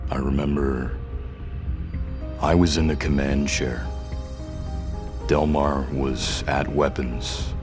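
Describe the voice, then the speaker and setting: An actor playing a haunted, defiant rebel prisoner whose memory is fading, speaking in a halting, strained and stubborn way in an audio drama. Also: male voice, very deep voice, flat delivery, music in the background. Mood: struggling, uncertain. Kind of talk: scripted audio drama acting.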